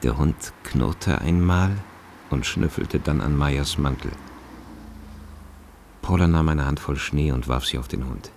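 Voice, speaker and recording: A man's voice: very deep voice, unhurried, creaky, long pauses, a little mellow, a little hiss or hum. A man narrating a radio drama in a scripted, literary style.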